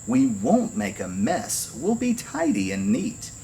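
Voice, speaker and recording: Man voicing an earnest little storybook girl, higher-pitched. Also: big pitch swings, unhurried, a little hiss or hum. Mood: sweet pleading.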